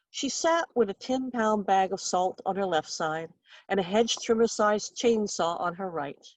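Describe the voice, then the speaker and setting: A woman reading her own short story aloud in an expressive, wry and dramatic storytelling manner. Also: medium pitch, no echo.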